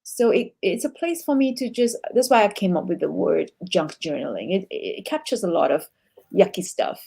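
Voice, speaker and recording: A woman's voice: mid-range voice, animated, moderate pace, vocal fry, mellow, breathy edge. A woman from Singapore, a guest in a casual conversation who talks reflectively and searches for her words.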